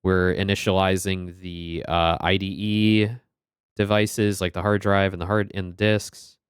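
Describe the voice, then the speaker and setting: Chatty low-voiced man streaming a game. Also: flat delivery, a little slow, clean tone, no room sound, clean studio sound. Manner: friendly, chatty, greets chat by name. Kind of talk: casual game stream chat.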